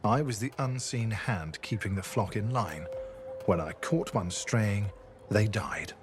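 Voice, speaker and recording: An actor voicing a video game's haunted defector, a former state assassin with a halting, brooding, confessional delivery. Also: male voice, lowish voice, background noise, natural voice. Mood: grim confession. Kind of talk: video game character dialogue.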